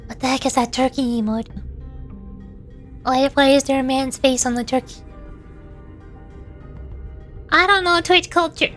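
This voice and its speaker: A woman's voice: high-pitched, normal speed, a bit bright, soft background music. A woman streaming as a VTuber, chatting casually and reacting to her chat while her voice gives out. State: losing her voice.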